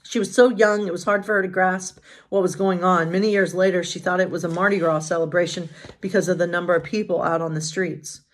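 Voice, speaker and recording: A woman pastor hosting bedtime stories, reading aloud in an informal, expressive way with casual asides. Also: lowish voice, brisk. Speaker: pastor and bedtime story host.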